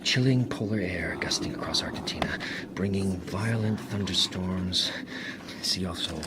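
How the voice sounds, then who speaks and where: Radio drama, very noisy recording: male actor for an obsessed, haunted man, deep-voiced, brooding, prone to whispers. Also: close to an everyday voice. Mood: obsessive muttering. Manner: brooding, halting, sighing, dropping into whispers. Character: haunted, obsessed man writer fearing the living wind.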